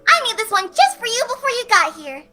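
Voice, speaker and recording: A woman's voice: extremely high pitch, big pitch swings, quick pace. A woman playing a gleeful, sinister young female cartoon pony, giggly and sing-song with false cheer, in a horror story reading. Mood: cheerful. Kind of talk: dramatized horror fan fiction reading. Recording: music behind the voice.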